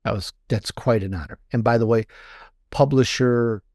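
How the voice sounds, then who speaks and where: A man's voice: mid-range voice, moderate pace, a little mellow, no echo. A man with a mid-range voice talks as a guest author in an interview. He explains in an enthusiastic, meandering way, conversational and promotional. The recording is close and dry. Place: video interview with book backdrop.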